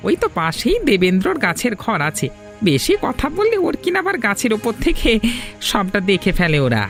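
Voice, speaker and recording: Man voicing a high-pitched, sly henchman thief. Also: wildly swooping pitch, moderate pace, a bit bright, faint music behind, heavily acted. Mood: scheming. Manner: scheming, self-assured. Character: sly, eager crop-thieving henchman. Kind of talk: Bengali cartoon voice acting.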